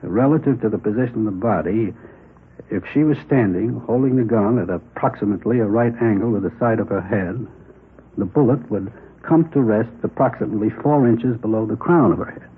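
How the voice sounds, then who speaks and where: An actor playing a matter-of-fact crime lab technician in a 1950s radio police drama, speaking methodically and clinically. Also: male voice, fairly low pitch, brisk, slightly rough. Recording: noisy, tinny vintage radio recording.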